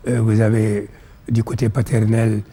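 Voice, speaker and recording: A man with a Senegalese accent and Pulaar as his first language, explaining ideas in measured, didactic phrases as an interview guest. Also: lowish voice, flat delivery, dark tone, slightly rough. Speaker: interview guest, philosophy author.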